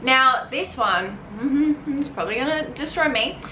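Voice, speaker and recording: A woman's voice: high-pitched, animated, measured pace, sharp tone, echoey room, slight background noise, low-quality recording. An Australian woman talking casually to camera as a book vlogger, bubbly and gushing.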